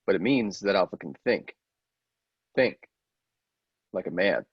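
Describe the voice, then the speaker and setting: A man's voice: low voice, lively intonation, unhurried, slightly rough, no room sound, heavily acted. A man playing an inventor's earnest, persuasive assistant in an old-style radio play, with a theatrical, urging manner. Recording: dull lo-fi, dry sound.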